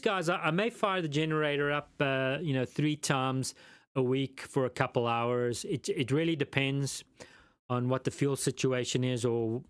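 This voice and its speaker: South African male host, smooth resonant voice, rambling and self-deprecating live chat. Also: mid-range voice, no echo, clean studio sound. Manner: rambling, self-deprecating, lots of ums and restarts. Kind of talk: casual live chat reading viewer comments.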